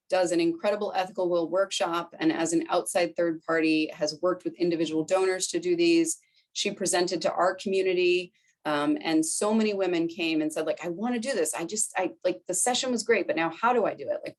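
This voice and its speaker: Chatty Boston woman presenting to colleagues. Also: fairly low pitch. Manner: chatty, conversational, fillers like "right?" and "like". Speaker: foundation director presenting to colleagues. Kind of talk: conference presentation.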